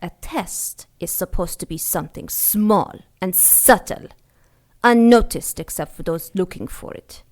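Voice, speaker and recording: A woman's voice: fairly low pitch, a little slow, darkish tone, close to an everyday voice. An actress playing a scornful, commanding cult seneschal in a pulp radio drama, condescending to those beneath her. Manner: condescending, commanding, clipped orders. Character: imperious, scornful female seneschal of secret sinister cult.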